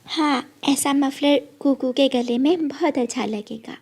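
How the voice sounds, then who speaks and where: A woman's voice: sits high, a little slow, darkish tone. A woman voicing a kindly, sensible mother sparrow in a children's cartoon, sweet and earnest. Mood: affectionate. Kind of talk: Hindi children's cartoon story.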